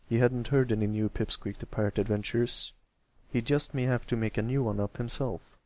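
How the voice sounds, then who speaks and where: A man's voice: lowish voice, no room sound. A man reading a story aloud as an amateur narrator of fan fiction.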